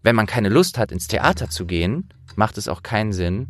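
A man's voice: fairly low pitch, expressive, normal speed, fairly bright tone, no echo, studio quality. A young man from the Rhineland in Germany, an actor hosting a casual interview, explaining things at length in a searching way.

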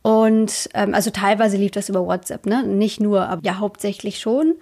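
A woman's voice: mid-range voice, normal speed, a little mellow. A woman giving a casual interview as a guest, speaking enthusiastically while feeling her way through her phrases.

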